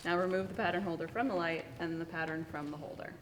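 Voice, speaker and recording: Female student, slightly low voice, reading out procedural steps, reverberant space with clear background noise. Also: fairly even intonation, fast. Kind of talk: reading written step-by-step instructions aloud.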